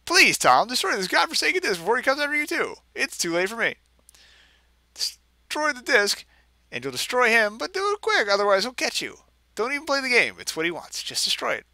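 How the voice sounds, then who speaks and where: A man's voice: extremely high pitch, sing-song, brisk, bright, forceful tone, dry recording, pitched above the performer's own voice, heavily acted. A man voicing a panicked, desperate young man pleading in a letter, in a melodramatic, overwrought mock reading.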